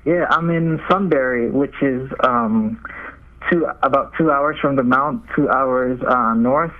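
A man's voice: mid-range voice, a little slow. Young man on a muffled call. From Nigeria. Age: young adult. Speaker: seminarian, podcast guest. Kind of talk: casual interview answers. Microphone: remote call.

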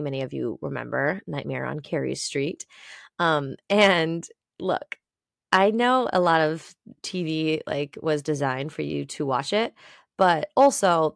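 A woman's voice: low-pitched, creaky, no echo, studio quality. A woman hosting a podcast solo, chatting casually in a gushing, emphatic, rambling way. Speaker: podcast host.

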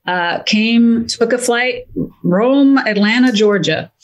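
A woman in her fifties from Italy, a wealth advisor chatting as a guest in an interview, eager and quick, often repeating words as she gets going. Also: on the high side. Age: 50s. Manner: enthusiastic, quick, repeats words when excited. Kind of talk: casual interview chat.

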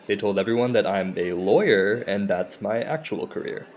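Guy acting an ashamed insecure comedian in an audio drama, lowish voice, stumbles over his words, swears a lot. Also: animated, darkish tone. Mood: sheepish, confessing. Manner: halting, confessional, profane. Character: insecure, self-deprecating Indian-American stand-up comedian, torn by shame.

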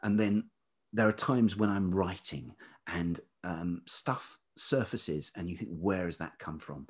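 A man speaking as an interview guest in a reflective, conversational way, thoughtful and halting as he searches for words. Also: deep voice, slightly rough. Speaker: interview guest, writer and musician.

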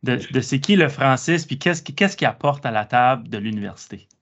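A man's voice: mid-range voice, expressive, brisk, vocal fry, a bit bright. A man hosting a university podcast, friendly and hesitant, with many fillers. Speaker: podcast host. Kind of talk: casual interview. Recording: dull lo-fi sound.

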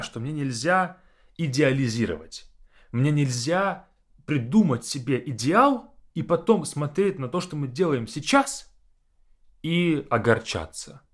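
Reflective man with lively intonation. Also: mid-range voice. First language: Russian. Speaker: Russian teacher and podcast host. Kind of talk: casual reflective monologue.

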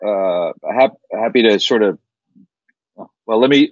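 A middle-aged man with a General American accent, giving measured interview answers with frequent fillers and restarts. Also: lowish voice, dry recording, lo-fi sound. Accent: General American, Chicago-like. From born in Kuwait, raised mostly in the United States. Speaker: investment firm founder, guest.

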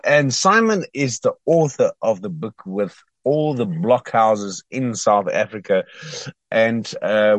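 Male host, mid pitch, dry close sound, loose phrasing. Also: dry recording. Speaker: show host. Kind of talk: casual interview hosting.

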